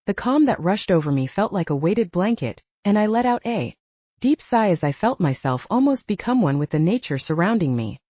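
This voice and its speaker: A woman's voice: mid-range voice, sing-song, moderate pace, warm tone, dry recording, muffled recording. A woman narrating scripted first-person fiction as an audiobook reader.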